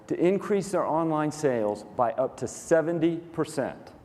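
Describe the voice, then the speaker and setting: A man with a Texas accent, a company COO walking through a software demo onstage in a dry, matter-of-fact, methodical way. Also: a little high, lively intonation, normal speed. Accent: Texan. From Texas, USA. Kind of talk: staged product demo presentation. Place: conference stage in a large hall. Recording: reverberant conference hall.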